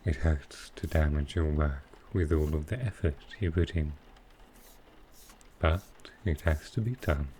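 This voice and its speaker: A man playing a sly, dryly amused male supervillain in a soft roleplay ASMR style, with halting, understated delivery. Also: a bass voice, a little hiss or hum. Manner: unhurried, halting delivery with pauses, dry understated humour.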